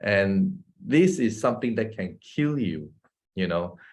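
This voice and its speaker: A man's voice: fairly low pitch, expressive, slow, a little mellow. A man, a doctor giving an informal health talk, chatty and constantly asking his listeners "right?" and chuckling between points. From Malaysia. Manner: chatty, often asks the audience "right?" and "guess what?".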